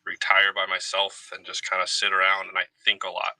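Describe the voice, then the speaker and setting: A man's voice: sits low, monotone, a little fast, bright, forceful tone, no echo, rough recording. A man speaking as a guest in casual conversation, candid and reflective about himself. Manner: reflective, self-revealing. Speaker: guest, retired army veteran. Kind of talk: candid casual personal talk.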